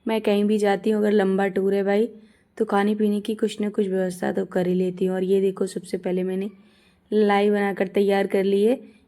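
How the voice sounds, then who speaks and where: Hoarse woman chatting in a vlog. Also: medium pitch, mellow. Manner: homely, chatty, addresses viewers as friends. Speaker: vlogger. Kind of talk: chatty travel vlog narration.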